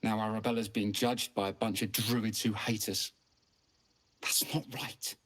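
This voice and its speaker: An actor playing a worried, reasonable father in a video game, measured and grumbling with bitterness. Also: on the low side, level tone, fairly bright tone. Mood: bitter.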